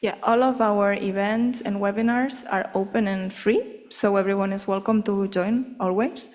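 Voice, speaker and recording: A woman's voice: mid-range voice, steady tone, moderate pace, a little mellow. Woman presenting in a reverberant hall. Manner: hesitant, many fillers and "like". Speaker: symposium presenter. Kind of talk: conference talk and Q&A answers. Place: conference hall.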